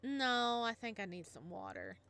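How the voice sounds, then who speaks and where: A woman's voice: on the high side, huge pitch swings, normal speed, pushed and bright, smooth, exaggerated voice. Woman voicing a flustered young single father. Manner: flustered, stammering when nervous. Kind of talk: reading a visual novel aloud.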